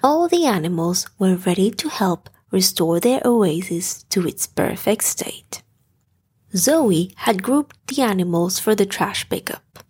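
A woman narrating a scripted bedtime story in a soft, gentle storytelling manner. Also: medium pitch, lively intonation, unhurried, husky. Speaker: storyteller, podcast host.

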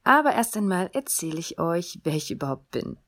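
A woman in her thirties from Germany hosting a solo podcast, talking in an earnest, gentle way with the odd um. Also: on the low side, very animated, brisk. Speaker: podcast host.

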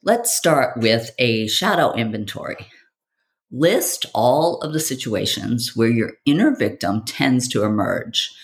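A podcast host and coach reads a scripted monologue with theatrical flair. The voice has a lot of vocal fry and moves widely in pitch, putting questions and appeals directly to the listener. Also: animated, takes it slowly.

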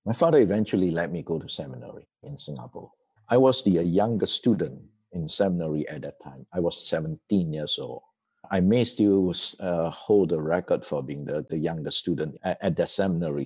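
A man's voice: low voice, fairly quick, a little mellow, husky. A middle-aged man from Malaysia, a seminary president, telling his life story in an interview in a thoughtful way, often repeating words.

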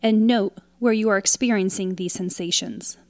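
A woman leading a guided meditation in a calm, soothing, instructional way, leaving pauses between short phrases. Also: mid-range voice, dry recording. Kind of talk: guided meditation, scripted narration.

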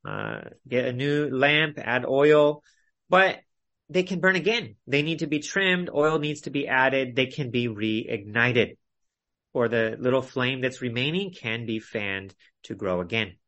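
A man teaching an expository Bible study in an earnest, instructive way with measured phrasing, speaking over an online video call. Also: medium pitch, expressive, a bit bright.

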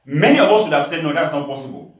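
A man's voice: a little high, animated, quick pace. Pastor from Cameroon, male, emphatic sermon delivery, echoey church hall. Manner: emphatic preaching, laughing asides, rising to shouts.

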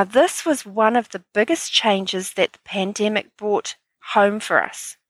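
A woman's voice: fairly high pitch, a bit bright, no room sound. A woman hosting a solo business podcast, sounding a bit stuffy from hay fever, in an upbeat, chatty, explaining manner. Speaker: podcast host.

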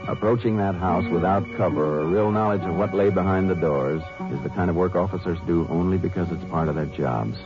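An actor playing a terse, commanding Texas Ranger in a 1950s radio western. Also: male voice, low voice, a little fast, few pauses. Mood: grave narration. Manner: terse, authoritative. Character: steady, commanding Texas Ranger lawman hero. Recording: muffled 1950s radio recording, music behind.

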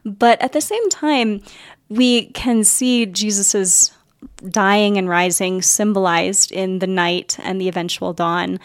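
A woman with a mid-range voice hosts a faith podcast, talking in an earnest, thoughtful way. Her enthusiasm stays gentle, and her voice sits dry and close to the mic. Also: mid-range voice, fairly even intonation, slow, no room sound. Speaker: podcast host. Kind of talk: conversational religious podcast talk.

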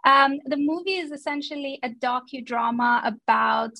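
A woman in her thirties from Iran, a company founder speaking as an interview guest, thoughtful and measured with many pauses. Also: sits high, creaky, bright, forceful tone, dry recording. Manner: thoughtful, frequent um and uh. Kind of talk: casual interview.

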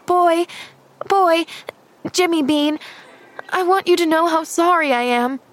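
An eager young girl from a storybook, voiced by a woman reader. The voice sits high and chatters earnestly, with a breathless, gushing, kind-hearted manner. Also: expressive, normal speed. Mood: apologetic, earnest. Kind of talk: audiobook character voice.

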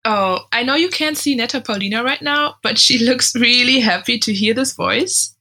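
Bright, forceful woman, curious podcast co-host. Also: on the high side, normal speed. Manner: curious, prompting questions. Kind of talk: scripted podcast conversation.

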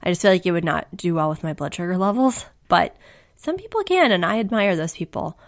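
A woman co-hosting a casual podcast, chatty and quick to laugh, her voice just back after losing it to the flu. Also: fairly low pitch, animated. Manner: chatty, laughs often, self-deprecating. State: recovering from flu, voice recently lost. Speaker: podcast co-host. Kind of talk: casual chat.

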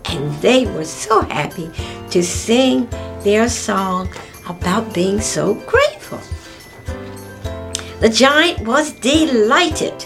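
Woman storyteller for children, very expressive pitch, slow pace, exclamatory, emphatic repetition, music behind. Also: creaky. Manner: exclamatory, drawn-out, repeats words for emphasis. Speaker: children's story-time host and reader. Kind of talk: read-aloud storytelling for young children.

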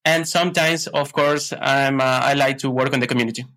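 A man from Ecuador with an Ecuadorian Spanish accent, chatting casually as an interview guest in slightly halting English. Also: medium pitch, steady tone, pushed and bright. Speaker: podcast guest, tech consultant.

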